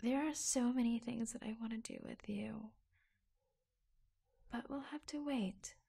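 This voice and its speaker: Woman acting a slow, breathy secret office girlfriend. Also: fairly high pitch, exaggerated voice. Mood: tender, hushed. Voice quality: soft, breathy. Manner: hushed, hesitant, confiding. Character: affectionate young woman in a secret office romance, proud, reassuring. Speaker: ASMR roleplay performer. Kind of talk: intimate ASMR roleplay, soft-spoken and often whispered.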